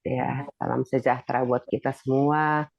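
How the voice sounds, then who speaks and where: A preacher giving a sermon with a stuffy, nasal voice while recovering from illness, pitching up and getting louder as they explain a point. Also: flat delivery, moderate pace, creaky, no room sound, muffled recording. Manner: rises in pitch and volume when explaining.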